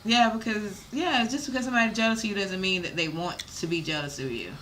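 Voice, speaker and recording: A woman's voice: medium pitch, fast talker, fairly bright tone, a little hiss or hum. A young American woman talking candidly as an interview guest, informal and emphatic with slangy, salty language. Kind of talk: casual candid interview talk.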